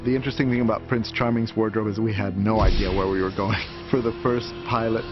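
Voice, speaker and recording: Lowish-voiced male designer over music. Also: fairly even intonation, quick pace. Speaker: costume designer. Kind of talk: interview, recounting work anecdotes. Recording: dull sound under clear music.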